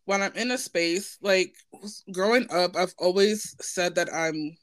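A woman's voice: fairly low pitch, a little slow, dry recording. A woman speaking informally and candidly in a personal conversation, often checking in for agreement as she goes.

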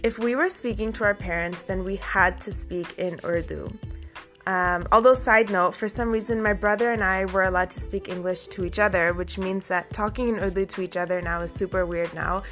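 A woman's voice: mid-range voice, fairly even intonation, normal speed, fairly bright tone, faint music behind. A young woman from Canada telling a personal story in a chatty way, full of asides. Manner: chatty, many fillers and asides. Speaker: vlogger.

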